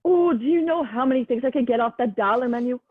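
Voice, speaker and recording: A woman's voice: on the high side, animated, quick pace, no room sound. Young woman acting chatty gamer, tinny audio. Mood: excited. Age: young adult. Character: Jay, quirky, kindly young gamer. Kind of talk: student one-act play acting. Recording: tinny dry phone-like audio.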